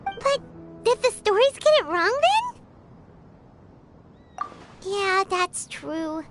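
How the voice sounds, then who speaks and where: A woman voicing a small, eager, caring fairy companion in a video game, with a squeaky, childlike voice and exclamatory delivery. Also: big pitch swings, extremely slow, exaggerated voice. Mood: curious. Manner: exclamatory, refers to self in third person. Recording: loud game music behind. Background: game music.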